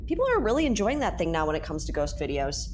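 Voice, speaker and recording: A woman's voice: low voice, sing-song, fast talker, background noise. A woman playing a playful, teasing space explorer and ghost show host in an audio drama.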